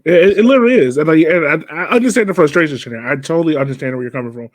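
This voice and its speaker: A man's voice: a little high, rapid-fire, no room sound. A man chatting as a podcast panelist about a soccer game, conceding points and then reasoning out his own view. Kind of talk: casual post-game sports discussion.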